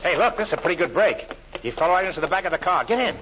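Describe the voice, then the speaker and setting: A man's voice: sits high, animated, rapid-fire. An actor playing a brash, glib insurance salesman and comic schemer in 1940s radio comedy style. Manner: glib, wisecracking patter. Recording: noisy, muffled old radio recording.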